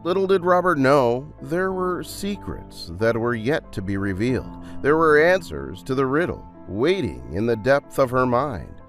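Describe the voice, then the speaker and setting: A man's voice: mid-range voice, very animated, a little slow, hardly any pauses, faint music behind. A man narrating a dramatised storybook adventure in a theatrical, dramatic way.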